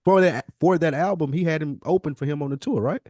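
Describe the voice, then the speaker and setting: A man's voice: on the high side, quick pace, a little mellow, dry recording, clean studio sound. An American man in a casual hip-hop discussion, speaking in an emphatic, opinionated way with plenty of slang. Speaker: podcast guest.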